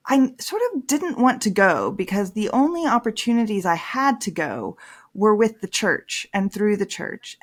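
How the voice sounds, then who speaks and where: A woman in her thirties, a priest and author, speaking candidly in an interview and swinging from soft reflection to emphatic stress. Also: mid-range voice.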